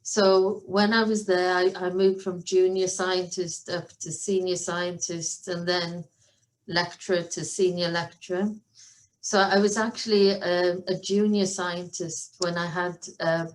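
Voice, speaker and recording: A woman's voice: lowish voice, level tone, slow, poor audio. A Welsh woman in her forties, a professor presenting online in a friendly manner, with many ums and restarts. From Wales. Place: university office on video call.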